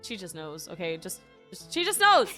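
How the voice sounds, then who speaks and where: A woman's voice: fairly low pitch, wildly swooping pitch, normal speed, pushed and bright, soft background music. A woman streamer giving casual, slangy reactions to a game, exclamatory and playfully repeating phrases. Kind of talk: casual excited reaction commentary.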